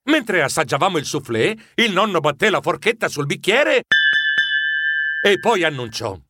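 An actor playing a timid, excitable mouse who narrates a children's story in a fretful, theatrical manner. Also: male voice, high-pitched, moderate pace, over loud music. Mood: wry storytelling. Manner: animated, fretful, dramatic.

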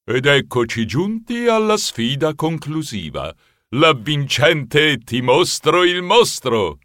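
Un uomo che interpreta in un audiolibro personaggi cerimoniosi e subdolamente compiaciuti, con tono teatrale e finto solenne. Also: male voice, on the high side, sing-song, slow, fairly bright tone, no room sound, clean studio sound, heavily acted. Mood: grandly announcing. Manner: theatrical, mock-solemn, relishing. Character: ceremonious, slyly gloating male characters of spooky comic tale. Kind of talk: audiobook character acting.

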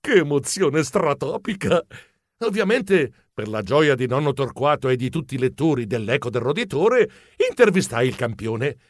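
A man playing an excitable, bumbling, polite mouse journalist who tells his own adventure as theatrical children's storybook narration. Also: medium pitch, moderate pace, dry recording, clean studio sound. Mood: delighted. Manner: theatrical, expressive, comic exclamations.

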